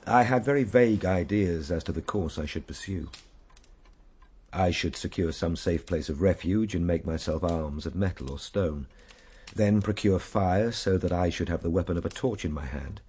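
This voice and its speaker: Very deep, grave Victorian storyteller. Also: male voice, darkish tone, slightly rough. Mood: reflective, regretful. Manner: measured, formal, grave. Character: Time Traveller recounting his ordeal, reflective Victorian gentleman. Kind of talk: radio drama first-person narration.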